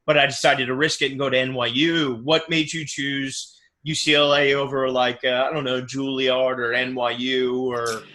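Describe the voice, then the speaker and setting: Thirtysomething guy hosting a podcast, bright voice, easygoing, says yeah a lot. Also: medium pitch, normal speed. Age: 30s. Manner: relaxed, friendly, frequent "yeah" and "you know". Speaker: podcast host. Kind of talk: casual podcast interview.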